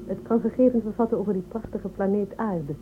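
A woman's voice: mid-range voice, a little fast, lightly acted. An actress playing an insistent, commanding woman adviser who questions people in a science fiction radio drama. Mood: calculating. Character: probing, authoritative woman adviser in a space empire. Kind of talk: Dutch radio drama acting. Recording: tinny phone-like band, loud background noise.

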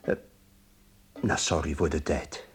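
An actor playing a worried, tactful boss in a radio drama, halting and husky. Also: male voice, very deep voice, steady tone. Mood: awkward, placating. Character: Willem, hesitant, worried male workplace boss.

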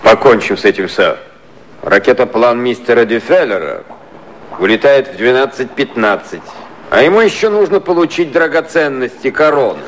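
A man's voice: mid-range voice, lively intonation, normal speed, a little hiss or hum, lightly acted. Casting: a man as an official envoy in radio satire, firm and declamatory, medium register with big swings. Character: brisk, official American envoy, firm and formal. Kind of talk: satirical 1950s Soviet radio play acting.

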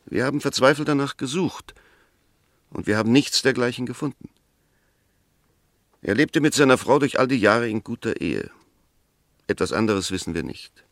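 A man's voice: medium pitch, lively intonation, moderate pace, pauses often, dry recording, clean studio sound, lightly acted. A man acting in a 1960s German radio play as a firm English defence lawyer, arguing formally, methodically and with emphasis. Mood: convinced.

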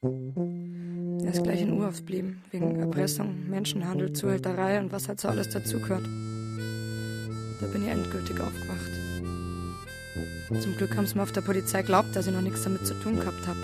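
An actress with a Bavarian accent playing a troubled wife confessing a hard youth in a naturalistic radio drama, plain-spoken and colloquial. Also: female voice, on the low side, fairly even intonation, brisk, pauses often, loud music behind the voice, natural voice. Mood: bitter, resigned.

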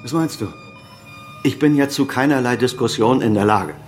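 A man's voice: mid-range voice, moderate pace, faint music behind, lightly acted. An actor playing a weary, defensive middle-aged doctor and father in a radio crime drama, curt and evasive. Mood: irritated.

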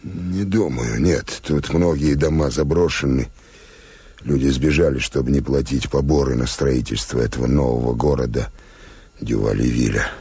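Very deep, gravelly elderly doctor. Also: male voice, fairly even intonation, moderate pace, dark tone, no echo, exaggerated voice. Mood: cautious, grave. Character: tall elderly male doctor, grave, weary, courageous. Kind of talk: Soviet radio drama acting.

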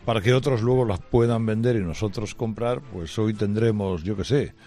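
A man's voice: fairly low pitch, moderate pace, dark tone. A man with an accent from Spain hosting a live radio phone-in, courteous, sometimes searching for his words. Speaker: radio host.

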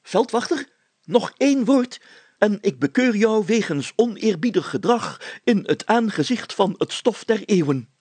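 A man's voice: high-pitched, animated, unhurried, a little mellow, husky, no echo, voice pushed up, full character voice. A man voicing a pompous, solemn and easily irritated village mayor in a children's story, with formal, declamatory delivery. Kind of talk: children's story character acting.

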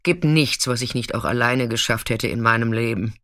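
A woman's voice: extremely low pitch, moderate pace, a bit bright, no room sound, studio quality. An actress playing a tough, defiant street sex worker, telling her story in a blunt, colloquial radio drama monologue. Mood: bitter, proudly stoic. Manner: colloquial, blunt, swinging from intimate recollection to agitated outbursts.

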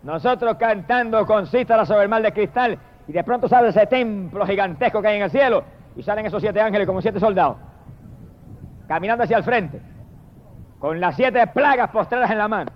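A man's voice: sits high, brisk, lo-fi sound, slight background noise. A man preaching an impassioned sermon, breaking often into cries of praise. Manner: impassioned, repetitive exclamations of praise. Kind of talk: fervent sermon.

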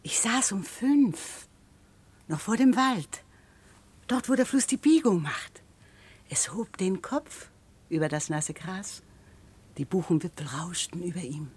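Slow, breathy, dreamy woman. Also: on the low side, lively intonation, darkish tone, heavily acted. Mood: dreamy, wistful. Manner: dreamy, measured, breathy phrases. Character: dreamy, wistful woman who rents out boats. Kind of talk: lyrical radio drama acting.

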